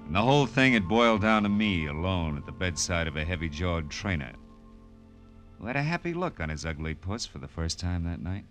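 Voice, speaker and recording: Casting: world-weary American gumshoe, low male voice, slangy noir narration over music, old-time radio. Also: sing-song, fairly quick, a bit bright. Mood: wry storytelling. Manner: wry, tough, slangy delivery. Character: hardboiled male private detective, wry, world-weary narrator. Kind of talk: 1940s radio noir acting, first-person narration. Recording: vintage radio recording with music.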